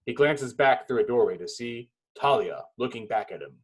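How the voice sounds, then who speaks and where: A man reading stage directions as narrator at a table read, in dramatic, clipped phrases with pauses. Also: medium pitch, animated, breathy edge.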